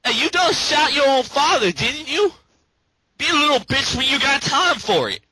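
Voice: high-pitched, sing-song, normal speed, sharp tone, dry recording, full character voice. An amateur fandubber voicing a brash, swaggering male street-gang rebel leader in slangy, profane, bombastic style on a home voice chat. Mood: pleading, brash. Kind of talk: amateur comedic fandub, improvised over a Skype call. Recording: clicky, noisy home voice-chat recording.

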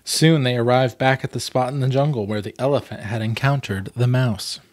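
Male storybook reader, 30s, mid pitch, expressive and chatty, close dry mic. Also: fairly quick, no room sound. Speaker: host reading a children's book aloud. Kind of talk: storybook reading with casual commentary. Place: small plain room indoors. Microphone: close desktop microphone.

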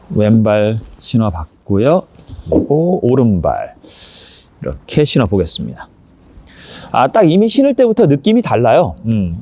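A man's voice: medium pitch, warm tone, a little hiss or hum. A man presenting a casual hands-on review, explaining each step politely as he demonstrates.

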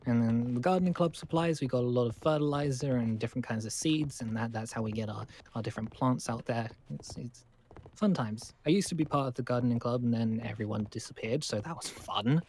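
A man's voice: mid-range voice, fairly quick, a little mellow, a little hiss or hum, natural voice. A man playing a polite, awkward school survivor in a game roleplay, stammering and apologetic as he rambles. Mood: chatty.

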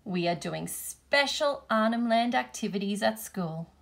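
A woman reading a children's picture book aloud in measured, deliberate phrases. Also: fairly high pitch, lively intonation, vocal fry. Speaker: storybook reader, teacher.

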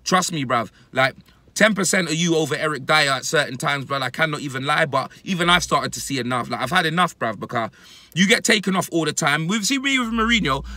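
Bright, high-pitched London man ranting. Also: animated, fairly quick, dry recording. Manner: emphatic, repetitive street-slang delivery. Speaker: football fan reacting to his club. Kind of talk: animated casual rant to camera, heavy slang. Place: inside a parked car. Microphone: phone at arm's length.

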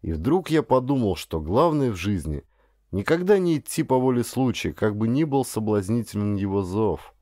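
A man narrating a literary audiobook in an expressive, dramatic storytelling manner. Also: on the low side, normal speed, no room sound.

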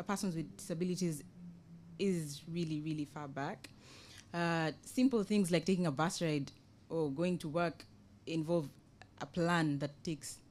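Young woman, Kenyan accent, fairly low pitch; NGO panellist presenting informally, measured and explanatory. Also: a little mellow. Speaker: NGO project officer, panel speaker. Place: conference room, indoors, panel table. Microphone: table microphone on a stand.

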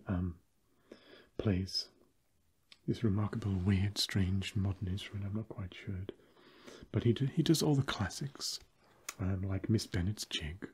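Man acting a breathy, slow gentleman vampire. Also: fairly low pitch, exaggerated voice. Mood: fond, hesitant. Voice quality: breathy, husky soft voice. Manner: hesitant, courtly, deliberate. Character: fussy, old-fashioned, melancholy gentleman vampire. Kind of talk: comic ASMR roleplay.

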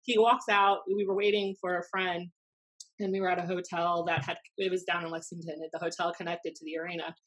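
An American woman in her thirties telling anecdotes casually, in a blunt, animated, sweary way, as a podcast host. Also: lowish voice, brisk, rough recording. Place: small room at home, indoors. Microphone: desk podcast microphone on video call.

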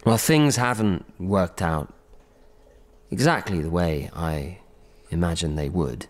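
An actor playing a devoted, earnest film director's assistant in a radio drama, reflective and halting. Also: male voice, low voice. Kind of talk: naturalistic radio drama monologue and dialogue.

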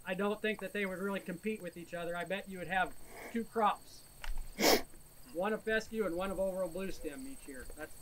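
High-voiced man explaining outdoors. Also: brisk. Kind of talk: outdoor field day Q&A. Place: open prairie pasture outdoors. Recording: outdoor noise, crickets.

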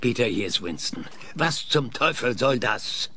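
An actor playing an irascible, indignant older professor who once trained space crews, in a German audio drama. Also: male voice, medium pitch, lively intonation, unhurried, background noise, close to an everyday voice. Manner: indignant, blustering.

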